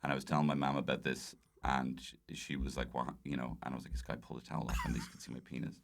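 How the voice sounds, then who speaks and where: Very deep-voiced Irish man telling anecdotes. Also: flat delivery, quick pace, gravelly. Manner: rambling, self-deprecating storyteller. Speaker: guest comedian. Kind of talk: casual anecdotal chat.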